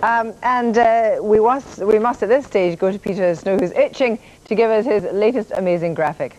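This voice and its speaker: A middle-aged female anchor hosts live election night coverage from a studio. She links between reporters in a composed way. Her pitch is average for a woman. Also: moderate pace, darkish tone, highs cut off. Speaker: news anchor. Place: television studio.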